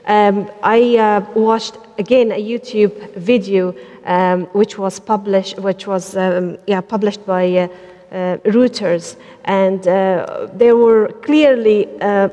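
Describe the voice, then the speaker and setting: A woman from Afghanistan speaking as a panelist, pausing often with fillers and restarting her sentences. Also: mid-range voice, warm tone. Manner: hesitant, many fillers and restarts. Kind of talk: panel talk. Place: large church hall. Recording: long hall reverb.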